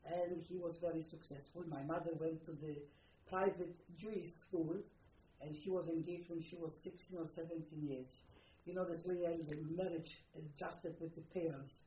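A woman's voice: sits low, fairly even intonation, dark tone, slight background noise. Elderly Polish-accented woman on muffled tape. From Poland. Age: older. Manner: halting, non-native phrasing, repeats words. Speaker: interviewee. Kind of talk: oral history interview. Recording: muffled roomy archival tape.

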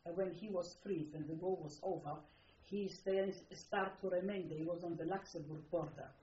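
An elderly woman with a Polish accent recounts her life in halting, repetitive English. She is recorded on muffled archival tape in a roomy space, with faint hiss behind her. Her pitch sits in a middle range. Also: steady tone, mellow. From Poland. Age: older. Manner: halting, non-native phrasing, repeats words. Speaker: interviewee. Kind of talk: oral history interview.

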